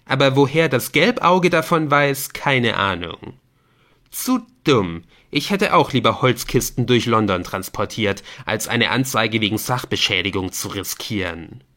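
Man doing an animated, forceful young gamer. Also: mid-range voice, unhurried. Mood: rueful. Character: frank young male gamer friend, former graffiti sprayer. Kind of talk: audiobook character dialogue.

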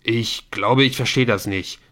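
Male narrator as a young gamer guy, earnest and unsure, asking questions, bright sharp voice, audiobook. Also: medium pitch, measured pace, natural voice. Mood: puzzled. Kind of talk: audiobook character dialogue.

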